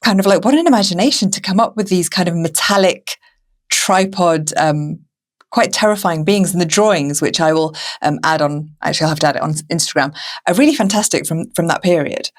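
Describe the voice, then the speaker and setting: A woman presents a podcast in a conversational style. Her pitch sits a little lower than most women's, and she talks as a host would to guests and listeners. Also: fairly quick.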